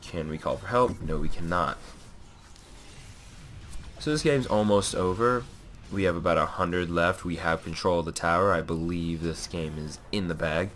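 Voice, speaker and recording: A young man with a low, deep voice that sounds stuffy and nasal from a cold. He rambles through casual commentary on his own gameplay, filling the gaps with "uh" and "you know." Faint keyboard tapping sits behind him at the desk. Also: normal speed, a bit bright, slight background noise. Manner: rambling, frequent fillers and self-corrections. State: has a cold.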